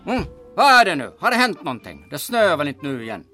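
A man's voice: a little high, sing-song, bright, forceful tone, faint music behind. An actor playing a muddled, cranky older forger in old-time radio comedy style, rambling and grumbling as he goes. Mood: muddled, alarmed.

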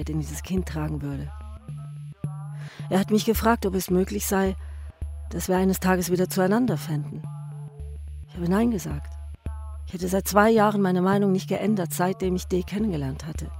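An actress playing a grave woman who recalls her starved husband's return from war, in a sober, measured radio drama monologue. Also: on the low side, creaky, a little mellow. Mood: detached, resigned. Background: music.

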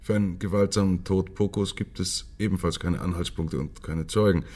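Deep, husky police inspector. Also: male voice, fairly even intonation, normal speed, dark tone. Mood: resigned, factual. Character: dogged male police chief inspector briefing politicians, principled. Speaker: actor in a radio drama. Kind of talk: naturalistic German radio drama acting.

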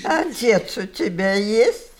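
A woman's voice: medium pitch, expressive, slow, darkish tone. An actress playing a feisty, curious, forgetful old great-grandmother in a theatrical radio play. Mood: curious, excited. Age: older. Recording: studio audio drama.

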